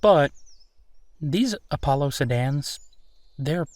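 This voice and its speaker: A man playing an easygoing, playful werewolf boyfriend in a roleplay audio, talking casually and haltingly with a self-deprecating streak. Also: fairly high pitch, expressive, a little mellow, no echo. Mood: casual, chatty. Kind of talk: intimate boyfriend roleplay audio, improvised-sounding one-sided conversation.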